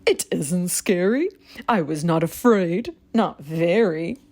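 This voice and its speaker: A woman's voice: lowish voice, animated, slow pace, darkish tone, exaggerated voice. A woman voicing an earnest, timid goat in a children's storybook. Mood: putting on bravery. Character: timid goat trying to sound brave, storybook animal.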